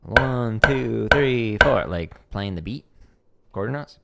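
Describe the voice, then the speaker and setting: Gravelly guy chatting on his drum stream, voice jumps all over, drumming going behind him. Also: medium pitch, animated, slow pace, loud music behind the voice. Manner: loose and chatty, many "like" fillers, counting tempos aloud. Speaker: drum teacher streaming practice. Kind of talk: casual livestream chat between drum practice. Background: drumming on a practice pad.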